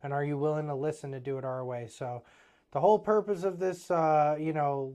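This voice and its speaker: A man's voice: on the high side, darkish tone, clear, resonant tone. A man in his thirties with an Eastern Pennsylvania accent who owns a plumbing business, talking bluntly and motivationally with lots of fillers. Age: 30s. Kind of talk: casual business talk.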